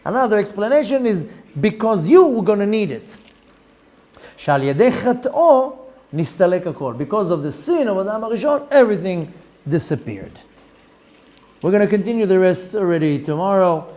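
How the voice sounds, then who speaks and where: A middle-aged man with an Israeli accent teaching a Torah lesson, reading Hebrew text quickly and explaining it in English with lively rhetorical questions. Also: sits high. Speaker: rabbi teaching Zohar.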